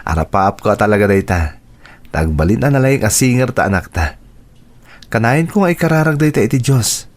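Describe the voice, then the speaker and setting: A man's voice: mid-range voice, animated, brisk, lightly acted. An actor playing a fond, proud, playful father and husband in an Ilocano radio drama. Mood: earnest, hopeful.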